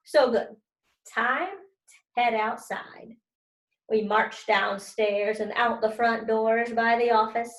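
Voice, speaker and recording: A woman teacher reading to children and commenting as she goes, expressive and stressing key words. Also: on the high side, echoey room, rough recording.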